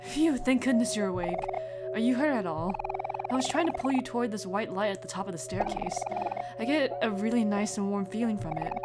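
A woman's voice: mid-range voice, fairly quick, loud music behind the voice, lightly acted. A woman voicing an anxious, caring young boy from a game, with an eager, urging manner. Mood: relieved, caring.

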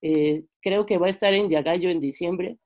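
A woman's voice: on the low side, normal speed, a little mellow, dull audio. A young woman with a Mexican accent speaks informally and hesitantly on a video call, often checking with a tag question. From Mexico, French-Mexican. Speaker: seminar participant, filmmaker. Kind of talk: informal sharing in an online discussion. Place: bedroom, indoors on a video call. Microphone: computer microphone on video call.

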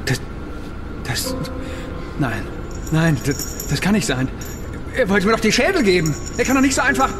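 Nervous male captive, radio drama: evasive, repetitive, stumbling speech, fairly high voice. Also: sing-song, music in the background. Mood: flustered. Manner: halting, stammering, repeats himself. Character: nervous, evasive captive leak-platform man, wheedling and self-important. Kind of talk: German radio drama acting.

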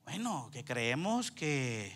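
A man's voice: mid-range voice, sing-song, slow, a bit bright. A man preaches emphatically, telling stories and asking rhetorical questions in short phrases left open for an interpreter. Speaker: preacher, apostle. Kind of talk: animated Spanish sermon delivered in short phrases for an interpreter. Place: large church auditorium before a congregation. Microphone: handheld microphone. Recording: live church PA with background noise.

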